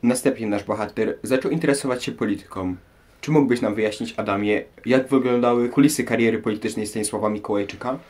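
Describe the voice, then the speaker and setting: A man's voice: medium pitch, fast, darkish tone. Young male host for scripted history interview, formal, mid-range voice. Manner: formal, rhetorical. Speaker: radio programme host.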